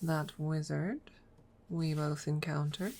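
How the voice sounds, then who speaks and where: A woman voicing a proud, possessive female dragon who teases a rival in a haughty, deliberate manner, in an intimate ASMR audio roleplay. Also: low-pitched, fairly even intonation, dark tone, slight background noise. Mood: teasing. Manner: haughty, teasing, deliberate with pauses.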